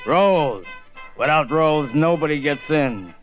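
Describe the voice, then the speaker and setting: A man's voice: a little high, lively intonation, takes it slowly. A brash, fawning dance hall doorman. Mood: pushy. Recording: dull old radio broadcast, music behind.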